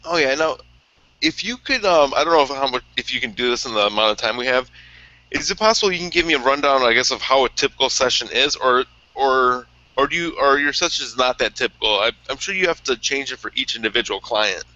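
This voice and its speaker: A man's voice: medium pitch, quick pace, bright, forceful tone, no echo, highs cut off. A man hosting an interview, asking hesitant, rambling questions. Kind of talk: casual interview talk.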